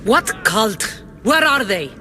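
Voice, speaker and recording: A woman's voice: fairly high pitch, big pitch swings, slow pace, pushed and bright, faint music behind, full character voice. A woman playing a bold, wry mercenary in a video game, terse and dry in manner. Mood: accusing, demanding.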